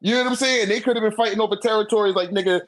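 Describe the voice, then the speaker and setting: A man chatting casually in an animated, slangy manner with heavy swearing, as a guest in a friendly debate. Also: high voice, racing. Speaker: guest debating a manga tier list. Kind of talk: casual chat.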